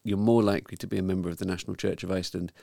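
A man hosting a weekly news talk show, speaking in a measured way with frequent ums. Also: deep voice, steady tone, vocal fry, warm tone, no echo, studio quality. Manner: measured, hesitant with many ums. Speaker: podcast host. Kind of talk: casual news discussion.

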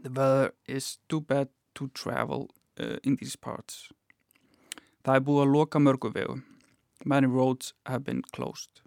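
Creaky-voiced Icelandic man reading simple news. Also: mid-range voice, little pitch movement, measured pace, darkish tone, no room sound, clean studio sound. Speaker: news writer and language-teaching guest. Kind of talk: slow simple news reading with translation and casual chat.

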